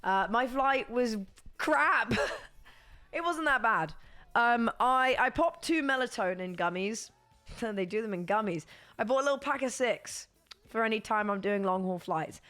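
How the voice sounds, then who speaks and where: A young British woman streams to her viewers with a hoarse, crackly voice that sits a little high. She rambles on in casual chat and laughs often. Faint music plays behind her. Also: animated, a little slow, fairly bright tone.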